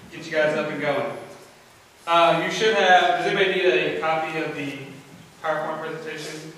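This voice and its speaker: A man with a loud voice giving a relaxed, joking presentation to a conference audience. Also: on the high side, slight background noise. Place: large convention center meeting room. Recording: echoey hall from far off.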